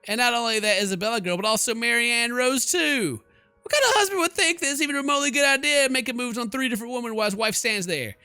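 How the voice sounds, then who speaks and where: A man streaming a game, giving animated, jokey commentary and reading the story narration aloud. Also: extremely high pitch, quick pace, clean tone, no room sound, soft background music. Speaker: gaming streamer.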